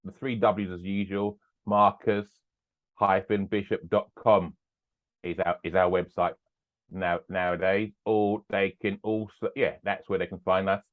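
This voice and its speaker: A man's voice: low voice, level tone, measured pace. Older man on a tinny remote line. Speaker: podcast guest, business owner. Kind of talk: casual interview chat.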